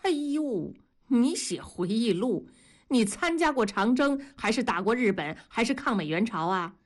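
A woman voicing a bossy, sharp-tongued wife in an audio story, with brisk, mocking, colloquial northern Chinese speech. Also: medium pitch, big pitch swings.

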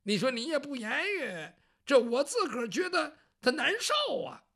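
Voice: high-pitched, very animated, normal speed, a bit bright, voice pushed up, heavily acted. A storyteller voicing a fussy, talkative older palace eunuch who nags and teases in a traditional storytelling performance. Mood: chatty. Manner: talkative, nagging, teasing.

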